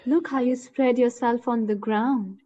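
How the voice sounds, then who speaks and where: An actress playing a woman hunter who stalks her target and marvels at winged creatures, thinking aloud in deliberate short phrases in an audio drama. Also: fairly high pitch, steady tone, warm tone, slight background noise, close to an everyday voice. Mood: hushed, watchful. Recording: dull lo-fi recording.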